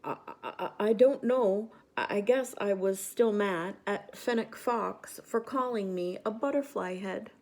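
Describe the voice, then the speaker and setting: A woman's voice: medium pitch, unhurried, few pauses, darkish tone, a little hiss or hum, voice pushed up, lightly acted. Woman as a frightened, pleading storybook dog. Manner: stammering, pleading, dramatic. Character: frightened male dog, grovelling before a spirit.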